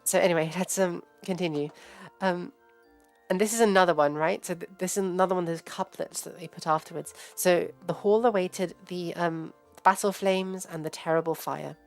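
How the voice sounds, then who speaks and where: Low-pitched Englishwoman rambling over music. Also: fairly even intonation, darkish tone. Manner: digressive, halting, thinking aloud. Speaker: host and scholar giving a live reading and commentary. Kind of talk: rambling informal academic commentary on a livestream. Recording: music playing behind the voice. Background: background music.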